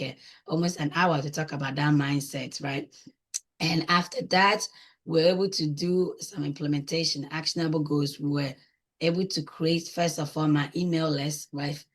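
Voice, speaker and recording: A woman with a Ghanaian accent and a husky voice, talking conversationally as an interview guest and often checking in with little tag questions. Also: low-pitched. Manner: frequently tags phrases with "right?". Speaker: guest, coaching client and business owner.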